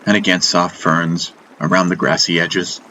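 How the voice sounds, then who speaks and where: Deep-voiced man narrating fantasy. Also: level tone, normal speed, sharp tone, a little hiss or hum, poor audio. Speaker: audiobook narrator. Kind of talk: scripted first-person fantasy narration.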